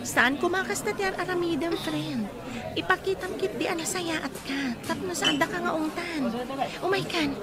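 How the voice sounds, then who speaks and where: A loyal, concerned young woman in a radio drama. Her pitch is high, and a loud crowd is heard behind her. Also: moderate pace. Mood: coaxing. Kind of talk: Filipino radio drama acting.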